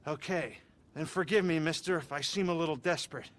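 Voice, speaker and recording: An actor playing an excitable, earnest male writer who admits he is desperate. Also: fairly high pitch, lightly acted. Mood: eager. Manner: excitable, earnest, talks fast in bursts. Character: eager nervous male writer. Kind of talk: video game cutscene acting.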